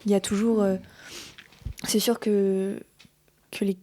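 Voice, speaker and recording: A woman's voice: mid-range voice, flat delivery, slow, warm tone. A woman in her twenties in a casual chat, hedging her views with many fillers. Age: 20s.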